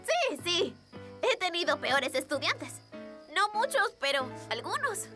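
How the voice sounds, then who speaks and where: A dramatic young girl in a cartoon, bossy and emphatic. She is high-pitched and animated, swinging widely in tone, with faint music behind her. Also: takes it slowly, heavily acted. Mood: diplomatic. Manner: emphatic, theatrical. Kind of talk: animated children's cartoon dub.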